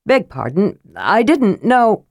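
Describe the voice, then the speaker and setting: A woman's voice: medium pitch, huge pitch swings, takes it slowly, gravelly, darkish tone, no echo, studio quality, pitched above the performer's own voice, full character voice. Woman voicing a sheepish, stammering big brother. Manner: hesitant, stammering, apologetic. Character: big, sheepish, slow-witted worried brother. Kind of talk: audiobook character voice.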